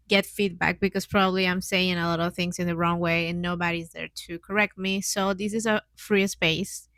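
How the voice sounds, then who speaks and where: A woman's voice: mid-range voice, a bit bright, no echo. A woman in her mid twenties with a Mexican accent, a native Spanish speaker, hosting a casual solo podcast in hesitant, giggly learner's English. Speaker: podcast host.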